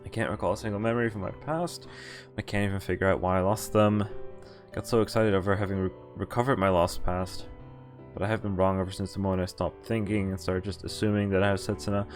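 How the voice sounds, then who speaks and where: A man streaming a visual novel, reading the text aloud and breaking off haltingly into muttered casual commentary. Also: deep voice, level tone, faint music behind. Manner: halting, often pausing to mutter asides. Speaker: game streamer.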